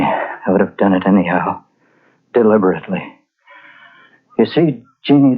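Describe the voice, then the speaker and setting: A man's voice: fairly low pitch, husky. An actor playing a guilt-ridden, weary and bitter man confessing a murder in a 1940s radio mystery. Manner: brooding, dramatic. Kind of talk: 1940s radio mystery acting, first-person narration. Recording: muffled old radio recording with music behind.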